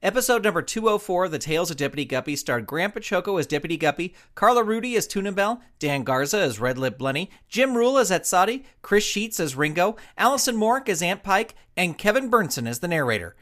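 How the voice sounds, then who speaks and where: A man's voice: high voice, very animated, a little fast, few pauses, fairly bright tone. A man reading scripted credits and promos as an announcer, upbeat and addressing children.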